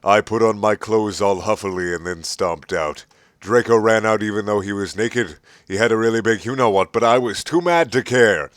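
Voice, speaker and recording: A man's voice: lowish voice, moderate pace, a bit bright, no room sound, clean studio sound. A man giving a mocking, exasperated dramatic reading of an amateur fiction story. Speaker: reader of a fan fiction story.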